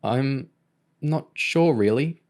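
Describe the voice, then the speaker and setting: A man's voice: medium pitch, takes it slowly, darkish tone, voice pushed up, natural voice. A man voicing an indignant, complaining teenage boy trainee in an audiobook. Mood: defensive. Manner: protesting, complaining. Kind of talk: audiobook character voice.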